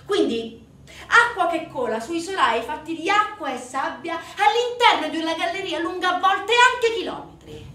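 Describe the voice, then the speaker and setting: A woman's voice: very high-pitched, lively intonation, normal speed, pushed and bright, exaggerated voice. An actress playing an indignant, sardonic storyteller in a theatrical monologue, putting emphatic rhetorical questions to her audience. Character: indignant, sardonic woman storyteller denouncing organized crime. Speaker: stage actress in a solo show. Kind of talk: theatrical narrative monologue on organized crime. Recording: roomy, distant stage recording, faint noise.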